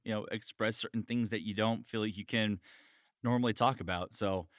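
A man's voice: fairly low pitch, steady tone, fairly quick, fairly bright tone, dry recording, studio quality. A man hosting a podcast conversation in a casual, informal style. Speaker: host.

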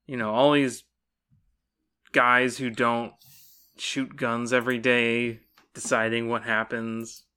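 A man co-hosting a podcast in a relaxed book chat, chipping in with short, wry remarks. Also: mid-range voice, takes it slowly, pauses often, smooth. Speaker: podcast co-host. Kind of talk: casual book discussion.

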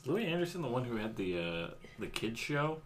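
A man's voice: medium pitch, very animated, moderate pace, a little hiss or hum. A man co-hosting a podcast, joking and laughing often as he chats through a game. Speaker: podcast co-host. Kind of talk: casual chat while playing a game.

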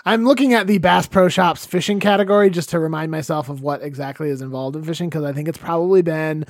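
A man's voice: a little high, quick pace, no echo, studio quality. A man in his late twenties co-hosting a casual chat, thinking aloud and rambling with restarts as he brainstorms. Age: late 20s.

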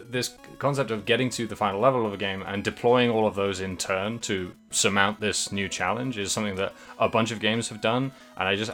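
A young man with a low-pitched but bright, forceful voice rambles through a casual podcast chat about games. He hesitates and fills his sentences with "like" and "uh" while faint music plays behind him. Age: young adult. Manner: rambling, hesitant, many fillers. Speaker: podcast co-host. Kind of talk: casual game discussion.

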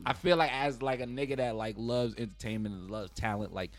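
A man's voice: mid-range voice, brisk, dry recording, soft background music. A young man from Brooklyn with a New York accent, hosting a podcast in a laid-back, slangy, profane way that turns hyped. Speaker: podcast host. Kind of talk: casual podcast conversation.

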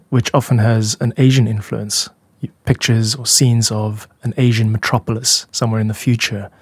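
Low-voiced man, dry close recording. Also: a little mellow, dry recording. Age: adult. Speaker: podcast host and music producer. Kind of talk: explanatory podcast narration about own music.

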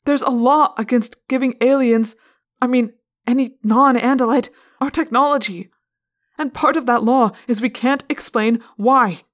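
Lady as young alien cadet guy, defensive and pleading, strained and pitched way up, audiobook. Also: steady tone, measured pace, natural voice. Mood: pleading, guilty. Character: anguished young male alien cadet in human body. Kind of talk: audiobook character voice.

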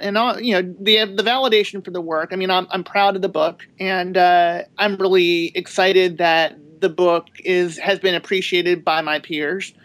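A woman's voice: on the low side, fairly bright tone, no room sound. A woman author talking as a podcast guest in a thoughtful, rambling way, with frequent restarts. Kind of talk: casual interview talk.

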